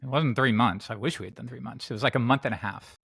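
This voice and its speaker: A man's voice: mid-range voice, fast talker, gravelly, a bit bright, breathy edge, no room sound, clean studio sound. A man from Tennessee talking casually as a podcast host. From Tennessee, United States.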